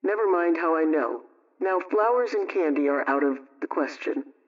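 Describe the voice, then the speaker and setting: A woman playing a brisk, motherly homemaking adviser, telling a scripted radio story in an emphatic, instructive way. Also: sits low, animated, distant mic, highs cut off. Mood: emphatic, coaxing.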